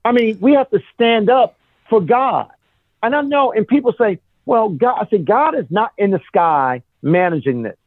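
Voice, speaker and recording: Animated higher-voiced man, impassioned and emphatic. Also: moderate pace, dry recording, phone-quality sound. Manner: emphatic, repetitive, rhetorical. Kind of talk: impassioned conversational talk.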